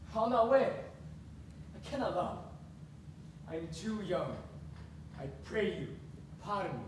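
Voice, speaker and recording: High-voiced tyrannical nobleman father, echoey. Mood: menacing, scornful. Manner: declamatory, bullying, contemptuous. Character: enraged domineering middle-aged nobleman father. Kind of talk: theatrical Shakespearean stage acting. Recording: echoey distant stage recording, faint noise.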